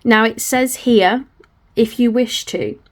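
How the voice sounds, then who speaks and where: A hoarse woman, patiently talking through a crochet tutorial step by step, often apologising as she goes. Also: a little high, slow. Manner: patient, apologetic, talks through each hand movement.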